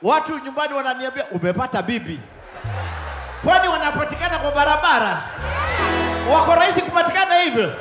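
High, shouting Kenyan comedy host. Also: male voice, expressive, fast, pauses often. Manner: shouting call-and-response to the crowd. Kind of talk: live stand-up hosting. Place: large outdoor concert stage at night. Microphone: handheld mic. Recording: echoey live stage with loud music.

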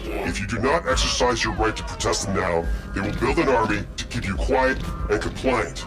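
A man playing an ominous spokesman for an underground hacker collective, reading a grave, measured propaganda narration in a video game. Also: on the low side, animated, close to an everyday voice. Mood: grave, warning. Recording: music bed behind the voice.

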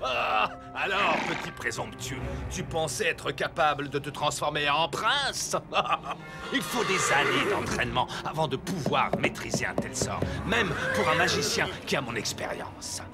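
An actor playing a scheming, menacing old magician in a fairy-tale cartoon, with a mocking, theatrical, drawn-out delivery. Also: male voice, on the high side, animated, gravelly. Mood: mocking, gloating. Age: older. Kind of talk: French animated fairy-tale cartoon acting. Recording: loud background music.

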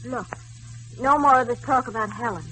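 1940s radio sweetheart: young devoted fiancée, bright voice, tender and coaxing, faint hiss throughout. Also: female voice, on the high side, normal speed, low-quality recording. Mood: soothing. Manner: affectionate, coaxing. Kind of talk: 1940s radio drama acting. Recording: hissy 1940s radio recording.

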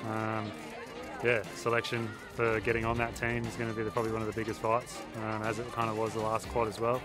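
A young man in his twenties answering interview questions outdoors by a harbour, relaxed and full of fillers. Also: on the low side, fast, over music. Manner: relaxed, many fillers, flat delivery. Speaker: athlete interviewee.

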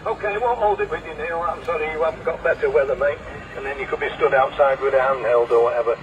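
A man's voice: on the high side, quick pace, muffled recording. Older man over a distant, very noisy radio. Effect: heard through a radio receiver. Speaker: amateur radio operator. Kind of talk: casual amateur radio chat.

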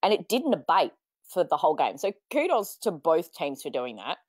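An Australian woman with a croaky voice, giving thoughtful views in a casual sports chat. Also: deep voice, very animated, normal speed, darkish tone, no echo, studio quality.

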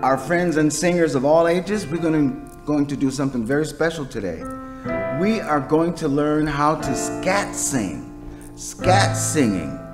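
A man's voice: on the high side, expressive, measured pace, music in the background. A middle-aged man teaching children to sing in an encouraging, friendly tutorial style. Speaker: music teacher. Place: stage with curtain, indoors.

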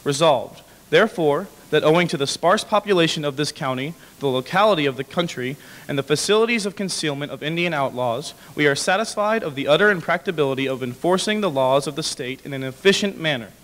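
A young man reads historical papers aloud in a formal register. His voice sits a little high for a man, and the big room leaves a long reverb around every phrase from the podium microphone. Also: lively intonation, fast talker, fairly bright tone. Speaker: dramatic reader. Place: large indoor hall with brick walls.

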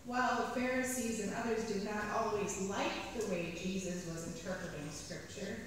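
A middle-aged woman priest reading a sermon from the pulpit, measured, slowing down to stress key phrases. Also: mid-range voice, a little hiss or hum. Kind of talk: read sermon. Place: large church sanctuary. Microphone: pulpit mic at a distance. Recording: echoey church hall from far off.

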